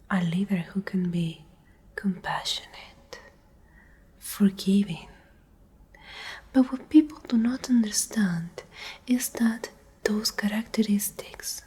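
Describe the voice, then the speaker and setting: A woman playing a menacing, domineering leader of a survivor group in a whispered ASMR roleplay, speaking in a breathy, husky voice with slow, condescending control. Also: mid-range voice, mellow. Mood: veiled menace. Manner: slow, condescending, coldly threatening.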